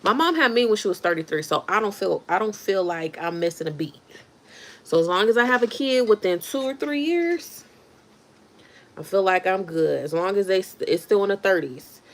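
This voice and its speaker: A woman's voice: medium pitch, expressive, moderate pace. A woman in her thirties chatting casually on a livestream, reacting to viewer comments as she talks. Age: 30s. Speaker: livestream host.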